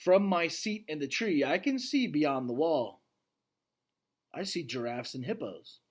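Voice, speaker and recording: A gentle, earnest gorilla from a children's storybook, as a man reads him aloud. The voice sits slightly high for a male and moves slowly, with hushed, dramatic phrasing and a wistful temper. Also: animated. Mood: calm, reflective. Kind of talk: children's novel read aloud, first person.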